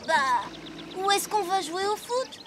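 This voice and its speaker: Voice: extremely high pitch, measured pace, noisy background. An actor voicing an easygoing, food-loving little schoolboy in a dubbed children's cartoon. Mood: puzzled. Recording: loud music behind.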